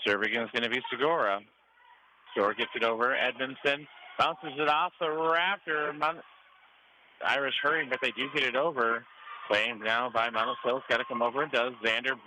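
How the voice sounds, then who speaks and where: A man calling live volleyball play-by-play for radio in clipped short calls that rise on big plays. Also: mid-range voice. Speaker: sports play-by-play announcer. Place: school gymnasium. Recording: noisy live gym broadcast. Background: gym ambience, cheering student sections.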